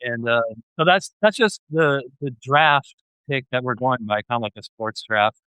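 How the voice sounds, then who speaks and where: A man's voice: mid-range voice, lively intonation, normal speed, pushed and bright, clean tone, no echo, rough recording. Casual male podcast host, dry clean sound.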